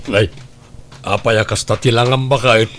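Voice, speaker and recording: A man with an Ilocano accent playing a gruff, proud father in a family drama. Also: mid-range voice, steady tone, normal speed, a bit bright, slight background noise, natural voice. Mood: doubtful. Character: gruff, skeptical, proud father of a scholar athlete. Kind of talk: Ilocano radio-style family drama acting.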